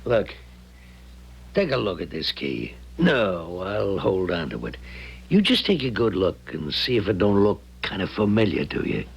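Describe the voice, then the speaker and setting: An actor playing a scheming, streetwise ex-convict in his late thirties in a radio drama, with a gravelly, husky voice. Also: sits low, huge pitch swings, measured pace, muffled recording, exaggerated voice. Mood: insistent. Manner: streetwise, colloquial, purposeful. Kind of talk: 1970s radio drama acting.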